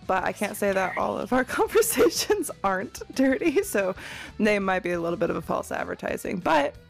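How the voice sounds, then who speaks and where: A woman with a gravelly, fry-laden voice, chatting casually and candidly as a podcast co-host, with crude joking and animated storytelling. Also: mid-range voice, moderate pace, soft background music. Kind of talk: casual comedic podcast chat.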